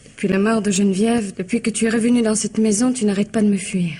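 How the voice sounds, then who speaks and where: A woman's voice: mid-range voice, steady tone, fast talker, dark tone, slightly rough, lightly acted. An actress playing a passionate, defiant woman in love, wounded and stubborn, in a French radio play. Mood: hurt, reproachful. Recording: muffled, noisy old radio drama recording.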